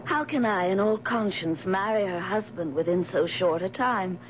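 An actress playing a gentle, anxious, devoted woman in a 1970s radio drama, with soothing, genteel, earnest phrasing. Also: mid-range voice, moderate pace, natural voice. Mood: earnest, concerned. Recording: muffled, noisy 1970s radio broadcast recording.

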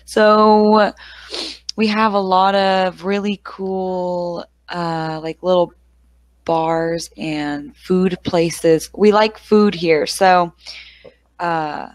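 Young woman, texas accent, chatty tutor, fillers, lowish voice, dry headset sound. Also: steady tone, very slow, no echo. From Texas, United States. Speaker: English tutor. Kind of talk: casual conversation. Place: small room at home. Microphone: headset mic on a video call.

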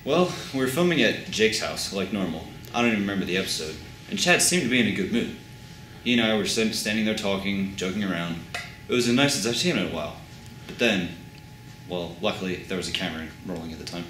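An actor playing a rueful former supporting actor, talking wryly and conversationally in a mockumentary interview. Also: male voice, sits low, animated, quick pace, a bit bright, echoey room, a little hiss or hum, low-quality recording. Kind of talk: mockumentary interview comedy acting.

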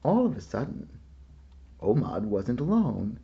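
A man reading a children's picture book aloud in a slow, expressive storytelling manner. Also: mid-range voice, creaky, slightly rough, dull audio.

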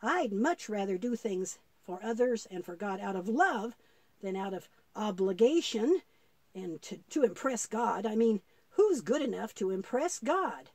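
A woman's voice: mid-range voice, animated, a little slow, a little mellow, no room sound. A woman voicing an eager, good-hearted young boy puppet who thinks aloud artlessly in a children's puppet show. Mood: earnest realization.

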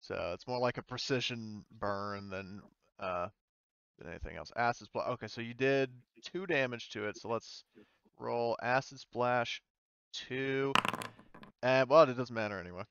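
A man running an online tabletop game as game master, casual and halting as he thinks aloud. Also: mid-range voice, vocal fry, a bit bright, clear, resonant tone, no room sound, muffled recording. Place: indoors, online voice call.

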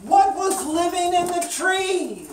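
A woman's voice: very high voice, takes it slowly. A woman leading a question-and-answer Bible lesson for young children, repeating her questions and phrases for them. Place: small indoor classroom. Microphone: far camera. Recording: distant camera mic, roomy, background noise.

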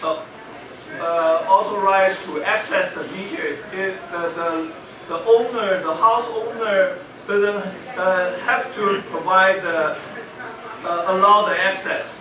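A man from Taiwan, speaking haltingly with many fillers as an audience member at a meeting. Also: sits high, steady tone. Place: meeting room. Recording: echoey room, distant mic, noisy.

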